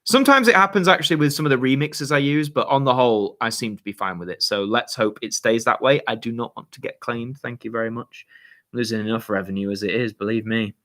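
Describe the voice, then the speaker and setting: Hoarse young man chatting. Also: medium pitch, quick pace, a bit bright. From Yorkshire, England. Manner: chatty, laid-back, lots of fillers and slang. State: slightly hoarse. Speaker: YouTube channel host. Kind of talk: casual livestream chat, reading viewer questions.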